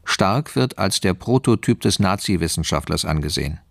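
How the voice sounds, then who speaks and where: An actor playing a formal announcer who reads out files in a radio play, clipped, official and deliberate. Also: male voice, low voice, animated, vocal fry, dry recording, studio quality. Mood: official, detached. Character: formal male announcer reading dossiers. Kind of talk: scripted radio play narration.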